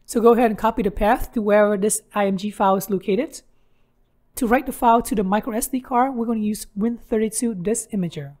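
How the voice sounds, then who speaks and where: A woman's voice: medium pitch, fast, a little mellow. A woman hosting a how-to tutorial, narrating instructions step by step. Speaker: tutorial host.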